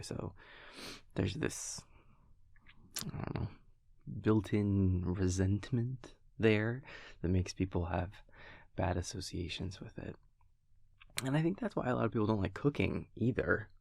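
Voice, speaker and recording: A man playing an easygoing, affectionate vampire boyfriend in an intimate audio roleplay, rambling and hesitant in a husky, gravelly voice. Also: sits low, dry recording, clean studio sound, exaggerated voice. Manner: rambling, hesitant, soft chuckles between thoughts. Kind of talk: intimate audio roleplay, naturalistic monologue to a partner.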